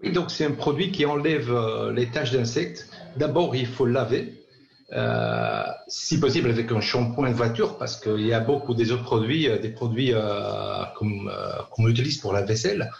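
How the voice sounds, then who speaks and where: A man from Belgium with a slight Belgian accent, a radio guest answering in a hesitant, halting way over a remote line. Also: medium pitch, lively intonation, gravelly. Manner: hesitant, halting, many pauses. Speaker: guest, company sales director. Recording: dull roomy remote line.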